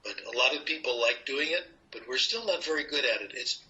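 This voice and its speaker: Playful older American professor, bright voice. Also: male voice, mid-range voice, brisk. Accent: American English. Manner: playful, lively teaching tone with asides. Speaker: linguistics professor, interview guest. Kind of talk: explaining to an interviewer. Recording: dull lo-fi recording.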